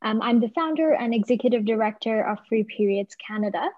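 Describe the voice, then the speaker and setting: A woman's voice: on the high side, brisk, no echo, dull audio. A woman in her twenties from Bangladesh, introducing herself on a video call with many hesitant "um"s. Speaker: nonprofit founder.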